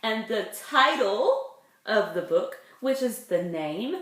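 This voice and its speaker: A woman's voice: on the high side, very animated, slow pace, a bit bright. A woman, a preschool teacher leading circle time and reading a picture book, asking simple questions and explaining words for small children. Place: indoors in a room at home. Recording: roomy, echoey home recording.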